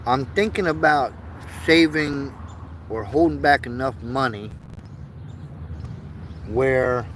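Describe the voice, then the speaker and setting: A man in his fifties, born in Vietnam and raised in the United States, talks slowly and earnestly to his livestream viewers, pausing and repeating himself as he goes. His pitch sits in the middle range for a man, and faint outdoor noise hums behind him. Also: a bit bright. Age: 50s. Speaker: livestream host. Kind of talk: rambling casual livestream monologue.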